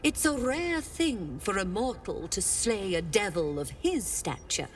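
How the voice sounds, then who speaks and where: An actress voicing a sly, silky devil merchant in a video game, with a teasing, knowing manner. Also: female voice, mid-range voice, lively intonation, measured pace, soft background music, lightly acted. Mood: sly, amused. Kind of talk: dramatic video game dialogue.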